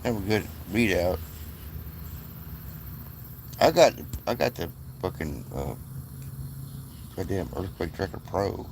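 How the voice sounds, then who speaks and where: A man hosting his channel, talking casually and profanely over his screen, rambling and excitable with many asides to viewers. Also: deep voice, slow, pauses often, slight background noise. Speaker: channel host. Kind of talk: casual screen-share commentary.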